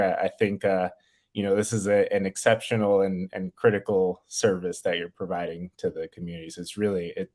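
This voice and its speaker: A man in his thirties from New York City, a physician talking about his career in a friendly, eager way, often repeating words as he restarts. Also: deep voice. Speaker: infectious disease physician, guest. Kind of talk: casual interview.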